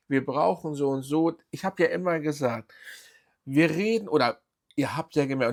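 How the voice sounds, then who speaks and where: A man talking through football transfer news on his own channel in a loose, rambling way. His voice has a gravelly, creaky edge and sits at an ordinary pitch. He stops often to restart his sentences and fills the gaps with small hesitation sounds. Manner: rambling, many fillers and restarts. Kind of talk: casual fan commentary vlog.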